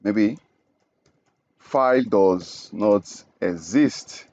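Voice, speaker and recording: A man's voice: fairly low pitch, very slow, a little mellow, no room sound, dull audio. A young man from Nigeria teaching programming in a screen-recorded tutorial, explaining each step and checking in with "okay?". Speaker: programming instructor.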